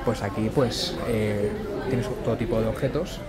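A man's voice: lowish voice, steady tone, moderate pace, a loud crowd. A man from talking casually to his viewers as he walks and films through a theme park, full of fillers and asides. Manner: chatty, filler-heavy, addresses viewers directly. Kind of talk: casual walking-tour vlog. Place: outdoors in a theme park, then inside a shop.

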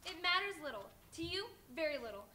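A woman's voice: extremely high pitch, sing-song, quick pace, bright, forceful tone, heavily acted. A woman on stage playing a hurt but resolute young sweetheart in a Christmas play. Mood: hurt, reproachful. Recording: live stage, faint room noise.

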